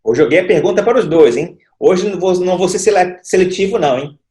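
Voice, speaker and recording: A man hosting a panel discussion, posing questions with lively, rising intonation. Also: high-pitched, fast, noisy background. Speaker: discussion host. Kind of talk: moderating a panel.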